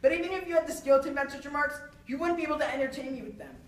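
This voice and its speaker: Woman playing proud mocking swordsman poet, high voice, haughty, taunting, witty stage declamation, echoey room, distant mic. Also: very fast, close to an everyday voice. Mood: scornful. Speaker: student actor. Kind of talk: theatrical stage monologue. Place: echoey room, far from the microphone. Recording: roomy echo, distant microphone.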